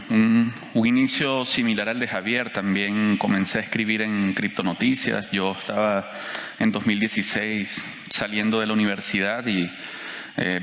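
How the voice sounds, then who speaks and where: A man's voice: fairly low pitch, a bit bright. A Venezuelan man in his thirties with a Venezuelan accent, sharing his experience as a conference panelist. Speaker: panelist, journalist. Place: conference stage in a hall. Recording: echoey hall, long reverb.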